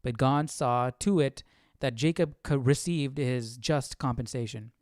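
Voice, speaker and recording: A man hosting a casual live Bible study, reading aloud and thinking out loud in an informal, slangy way. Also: mid-range voice, a little slow, no echo, studio quality.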